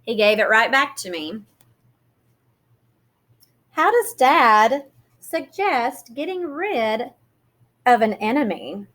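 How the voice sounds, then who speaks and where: A woman reading a children's picture book aloud, expressively, with deliberate emphasis and questions put to the listener. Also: on the high side. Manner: animated, deliberate emphasis, pausing to ask questions.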